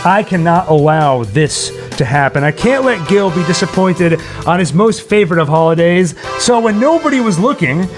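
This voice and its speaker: Guy with a highish voice doing chatty livestream host stuff, jokey and rambly, music playing behind him. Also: animated, normal speed, hardly any pauses. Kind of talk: casual livestream chat and sign-off. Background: Halloween novelty songs.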